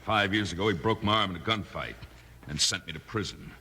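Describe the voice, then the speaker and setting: An actor playing a vengeful, scheming outlaw in a 1950s radio Western, with menacing frontier talk. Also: male voice, sits low, fairly quick, pushed and bright, slightly rough, heavily acted. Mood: grimly vengeful. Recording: noisy old radio recording.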